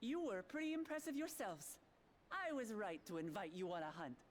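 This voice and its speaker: A woman voicing an earnest, excitable young warrior princess in a video game, forthright and emphatic in her speech. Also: medium pitch, moderate pace, a little hiss or hum, lightly acted. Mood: spirited. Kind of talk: video game cutscene acting.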